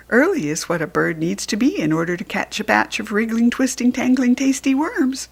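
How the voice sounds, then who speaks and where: A woman with an American accent narrates a children's storybook. Her pitch moves in big, lively swings, and her voice has a slightly creaky, husky edge. She stresses words deliberately and expressively. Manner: animated, deliberate storytelling emphasis.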